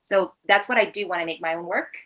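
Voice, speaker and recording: Young woman from venezuela, native spanish speaker, slightly low voice, chatting as an interview guest. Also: quick pace, a bit bright. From Venezuela and Latin America. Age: 20s. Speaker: interview guest, actor and filmmaker. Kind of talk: casual conversational interview answers.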